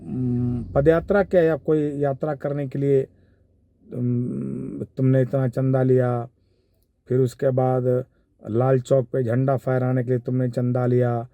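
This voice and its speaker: A man with a Bihari accent giving informal spoken commentary in a measured, halting, explanatory way. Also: mid-range voice, little pitch movement, vocal fry, warm tone, dry recording. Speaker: commentator giving his analysis of a viral call.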